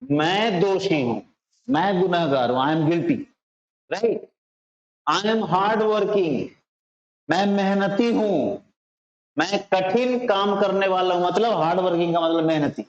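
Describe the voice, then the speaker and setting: A man with an Indian accent teaching English informally, repeating phrases slowly and often checking that learners understand. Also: high-pitched. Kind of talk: informal spoken lesson. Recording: roomy echo, slightly dull sound.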